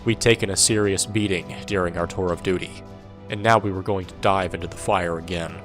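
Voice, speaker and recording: A world-weary soldier played by a man narrating an audio drama in the first person. His voice is low, with a dry, irreverent, sardonic delivery. Music sits underneath. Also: steady tone, brisk, natural voice. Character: wry, world-weary male soldier recounting his war.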